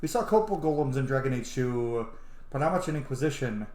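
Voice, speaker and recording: A man's voice: medium pitch, expressive, fast, a little mellow. A man giving casual let's-play commentary, exclaiming as he plays. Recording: roomy echo over game music.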